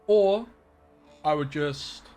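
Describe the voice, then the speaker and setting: A man's voice: fairly high pitch, drawn-out words, dark tone, soft background music. A young man chatting casually with viewers on a live game stream from his bedroom, rambling and greeting people by name.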